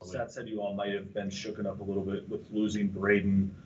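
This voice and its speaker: A man's voice: lowish voice, little pitch movement, brisk, a little mellow, muffled recording, slight background noise. A man asking a player plain, direct questions as a reporter at a press conference.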